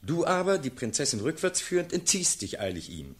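An actor playing an earnest, steadfast officer addressing his sovereign in measured, declamatory verse in a classical radio play. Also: fairly high pitch, very animated, breathy edge. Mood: earnest narrating.

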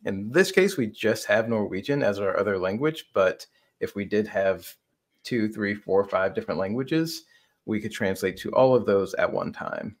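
A man's voice: on the low side, lively intonation, moderate pace. A man in his thirties explaining a product on a video call as a conversational co-presenter. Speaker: software demo co-presenter.

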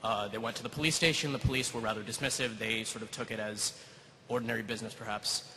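A young American man, a student, asking a long question and telling a story in a rapid, chatty way full of fillers. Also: on the low side, fairly even intonation, pushed and bright, a little hiss or hum. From United States. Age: young adult. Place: large university hall. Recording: reverberant hall with faint crowd ambience.

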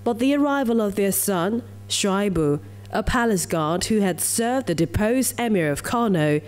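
A woman's voice: mid-range voice, lively intonation, unhurried, darkish tone, faint music behind. A woman with a Nigerian accent reading a scripted news report as a reporter's voice-over.

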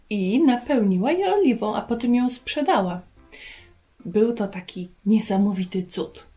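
A woman in her thirties hosting a children's lesson, talking to young viewers in an animated way and asking them questions. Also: mid-range voice. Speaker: children's Bible lesson host. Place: carpeted room at home.

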